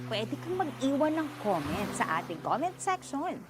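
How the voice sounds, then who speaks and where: A woman's voice: sits high, big pitch swings, moderate pace, over music. A woman hosting a programme, chatting directly to her listeners. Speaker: programme host.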